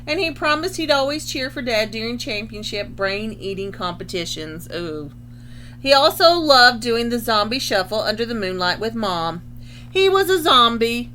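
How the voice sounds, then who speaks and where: A woman reading a children's picture book aloud expressively, pausing to explain things in simple terms. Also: fairly high pitch, bright, forceful tone, a little hiss or hum, rough recording. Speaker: storybook reader.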